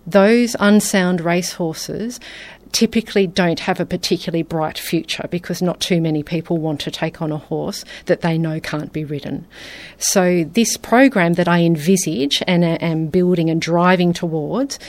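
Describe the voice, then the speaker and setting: A woman's voice: fairly low pitch, normal speed, a little mellow. A middle-aged Australian woman, a guest in a radio studio interview, talking earnestly and reflectively. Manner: earnest and reflective, laughs often.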